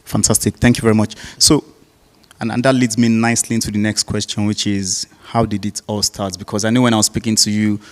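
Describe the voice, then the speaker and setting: Male event host, lowish voice, informally putting questions to a guest live. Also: flat delivery, a little fast.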